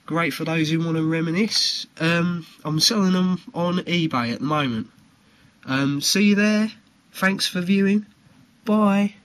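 Casting: male amateur seller-presenter, slightly high pitch, unhurried and halting, informal show-and-tell. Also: a bit bright. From United Kingdom. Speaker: trading card seller presenting his video. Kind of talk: informal product showcase.

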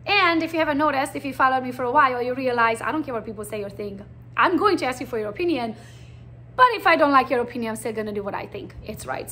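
Woman in her forties, Hungarian accent, high voice, lively blunt storytelling, faint background hiss. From Transylvania, Romania. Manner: chatty, blunt, animated storytelling. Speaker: realtor presenting to prospective recruits. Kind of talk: casual recruiting presentation.

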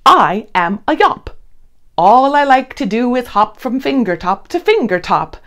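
A woman's voice: fairly high pitch, very animated, a little slow, a bit bright, voice pushed up, full character voice. A woman voicing a cheerful, odd little storybook creature in an exaggerated, singsong manner. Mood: bouncy, cheerful.

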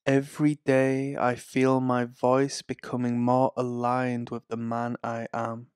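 A man reading guided affirmations in a calm, soothing, scripted way. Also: mid-range voice, smooth, no room sound, clean studio sound. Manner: slow, calm, soothing delivery. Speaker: affirmation narrator.

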